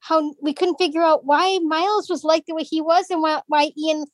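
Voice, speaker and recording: A woman's voice: sits high, fairly even intonation, moderate pace, no room sound. A woman in a book club, giving a long rambling comment with many restarts. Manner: long rambling run-on remarks. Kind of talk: casual group chat.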